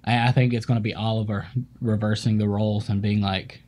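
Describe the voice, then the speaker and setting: Podcast co-host, man, thirties, warm mellow tone, laid-back banter. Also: on the low side, little pitch movement, brisk. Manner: chatty, laughs easily, riffs on the other host. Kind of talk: casual podcast chat. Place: small studio with city-skyline backdrop.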